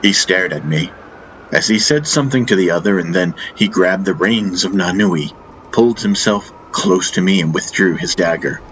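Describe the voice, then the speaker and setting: A man reading a first-person fantasy audiobook as narrator, in a solemn, deliberate, dramatic style. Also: sits low, fairly bright tone, a little hiss or hum, poor audio. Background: faint music.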